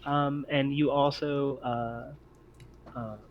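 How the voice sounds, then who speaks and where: A man's voice: medium pitch, flat delivery, takes it slowly, lo-fi sound, a little hiss or hum. A man speaks in a mid-range voice as he runs a tabletop roleplaying game. He narrates the action and rules on it as game master.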